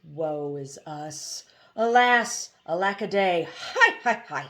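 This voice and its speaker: A woman's voice: lowish voice, animated, slow, a bit bright. A woman voicing a gloomy mechanical hoopoe bird from a storybook, lamenting in oddly broken phrases. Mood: dread, wailing. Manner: lamenting, fractured odd syntax.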